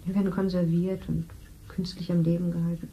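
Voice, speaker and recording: Casting: a woman playing a cool, matter-of-fact medical assistant in her thirties, mellow and lowish voice. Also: little pitch movement, fairly quick, slight background noise, lightly acted, low-quality recording. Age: 30s. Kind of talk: 1970s radio play acting.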